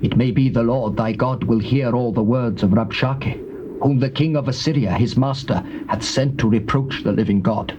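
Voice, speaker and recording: Guy playing worried solemn king's messenger, mid pitch, formal, muffled noisy cassette. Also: moderate pace, close to an everyday voice. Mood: grave distress. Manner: formal, grave. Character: grave, troubled male royal envoy seeking help. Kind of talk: dramatized scripture reading, classical stage delivery.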